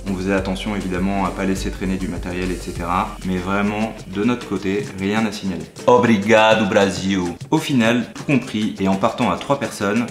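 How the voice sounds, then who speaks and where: A man giving upbeat, chatty travel advice in a vlog voice-over. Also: fairly low pitch. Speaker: travel vlogger. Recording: roomy sound over background music.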